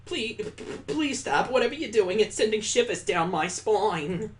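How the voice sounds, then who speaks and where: A gaming host voicing a flustered girl from a visual novel, halting and pleading. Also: mid-range voice, expressive, far from the mic, slight background noise, voice pushed up, natural voice. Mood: distressed pleading.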